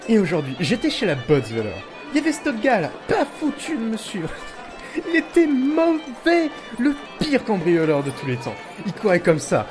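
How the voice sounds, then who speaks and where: A man's voice: sits high, wildly swooping pitch, normal speed, music in the background. A young man playing a cheeky, boastful teenage thief in an audio drama, slangy and dramatic. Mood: boastful, theatrical.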